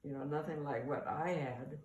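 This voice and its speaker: A woman's voice: low-pitched, fairly even intonation, normal speed, a little mellow. Older woman reminiscing, echoey distant recording. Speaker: mother sharing family memories. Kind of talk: casual oral-history reminiscence. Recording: echoey, distant mic, faint room noise.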